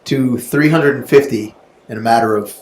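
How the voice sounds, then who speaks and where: A man's voice: medium pitch, normal speed, darkish tone. A man in his twenties co-hosting a podcast with friends, chatting in a laid-back way and laughing often. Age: 20s. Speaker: podcast co-host. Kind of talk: casual chat among friends. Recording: echoey room with faint noise.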